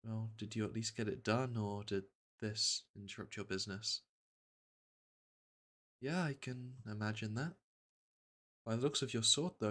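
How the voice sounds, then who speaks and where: A man playing a gentle veteran monster hunter in a fantasy ASMR roleplay, speaking softly and reassuringly, with a hesitant, matter-of-fact manner. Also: on the low side. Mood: friendly, conversational. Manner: soft, hesitant, soothing delivery.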